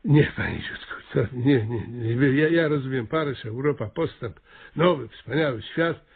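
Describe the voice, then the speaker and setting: A man's voice: medium pitch, animated, moderate pace, vocal fry, husky, narrow, tinny audio. An actor playing a flustered, hesitant small-town mayor in a Polish radio comedy, stammering and repeating his words. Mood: flustered refusal.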